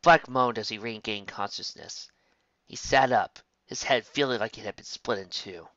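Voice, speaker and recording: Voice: mid-range voice, normal speed, fairly bright tone, no echo, highs cut off. A narrator reading scripted fiction aloud as an audiobook.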